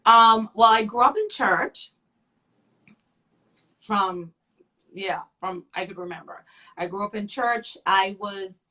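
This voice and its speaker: A woman's voice: mid-range voice, slow pace, pauses often, pushed and bright, poor audio. A woman in her thirties, a makeup artist and guest, speaking candidly in a casual interview and often repeating short phrases. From Freeport.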